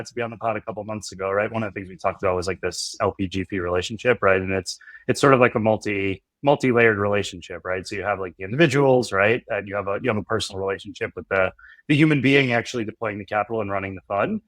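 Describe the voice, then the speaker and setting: A man's voice: lowish voice, fast talker, a bit bright, dry recording. A man chatting casually as an interview guest, often checking in with "right?" and sprinkling in "like". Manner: frequent "like" and "right?" tags. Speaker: podcast guest, investor.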